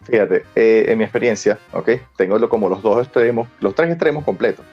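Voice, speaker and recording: A man's voice: on the low side, animated, fairly quick, highs cut off, faint music behind. A man with a Venezuelan accent giving conversational, explanatory answers as an interview guest.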